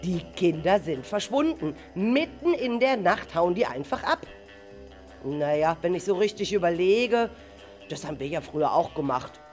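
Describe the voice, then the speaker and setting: A woman's voice: on the low side, big pitch swings, darkish tone, faint music behind. A woman playing a flustered, worried schoolteacher. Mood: worried, exasperated. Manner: agitated, halting, talking to herself and repeating words. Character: flustered, worried female primary school teacher missing her pupils. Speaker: teacher character. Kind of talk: amateur radio play acting.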